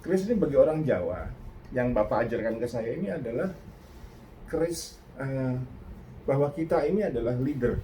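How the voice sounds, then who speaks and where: An older Indonesian man giving a casual reaction talk, reflective and earnest, pausing and restarting often. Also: mid-range voice, animated, warm tone, slight background noise. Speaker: host.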